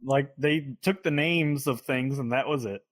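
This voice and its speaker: A man's voice: medium pitch, measured pace. A man in a casual podcast chat, offering opinions in a tentative, hedging way.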